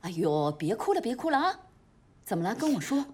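A woman's voice: fairly high pitch, very animated, a little fast, breathy edge. A middle-aged woman acting a protective aunt, doting yet commanding, emphatic and repetitive in a TV drama. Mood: soothing. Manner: emphatic, repeats herself.

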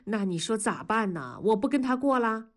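A woman's voice: fairly high pitch, very animated, normal speed. Middle-aged dad, placating but exasperated, voiced by a woman reading aloud; storybook voice.

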